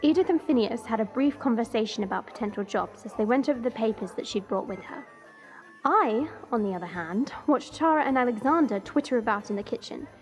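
A woman playing a haughty, irritable dragon princess who narrates her own story in a measured, scornful way. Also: fairly high pitch, lively intonation, lightly acted. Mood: brooding. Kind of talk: first-person story narration. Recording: music bed under the voice.

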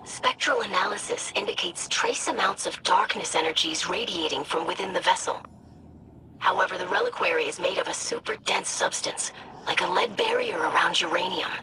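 A woman's voice: mid-range voice, sing-song, normal speed. A woman playing a composed, analytical researcher in a video game, speaking in a precise, businesslike way. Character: composed, analytical female researcher advising a soldier. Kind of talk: scripted video game dialogue. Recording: noisy background ambience.

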